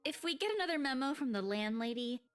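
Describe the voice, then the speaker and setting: A woman's voice: high-pitched, lively intonation, fast. An actress playing a feisty, pouting young woman who scolds a friend in a visual novel game, with music behind her. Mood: scolding, teasing. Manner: defensive, scolding banter.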